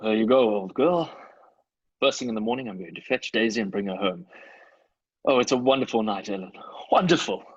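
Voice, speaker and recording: An actor plays an eager, cheery working husband in an old-time radio drama. His voice is husky and rough at an ordinary male pitch, with a dry, slightly muffled sound. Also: brisk, dry recording. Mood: delighted, excited.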